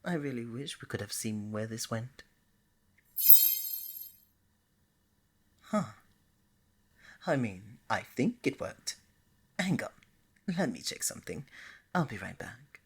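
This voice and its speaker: Casting: woman as a lovestruck deity of romance for ASMR roleplay, very low and breathy, flirty, coaxing, scatterbrained. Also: animated, a little slow, long pauses, heavily acted. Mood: sheepish, deflated. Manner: playful, coaxing, bubbly and hesitant by turns.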